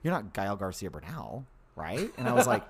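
Casual podcast co-host, man, thirties, swooping lively pitch, storyteller who acts out quotes. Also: normal speed, slightly rough. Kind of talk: casual chat.

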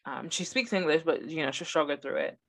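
A woman's voice: low voice, fairly quick, a bit bright. A young woman whose first language is Spanish, co-hosting a podcast in casual chat and telling stories animatedly. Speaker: podcast co-host.

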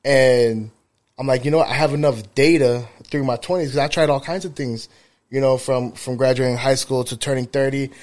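A man of thirty with an ordinary mid-range voice rambles through stories in a podcast chat. He swears freely and fills gaps with "like" and "um." His delivery is unhurried and conversational. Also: mid-range voice, steady tone, darkish tone. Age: 30s. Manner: rambling storyteller, profane, frequent fillers. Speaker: podcast co-host, barber.

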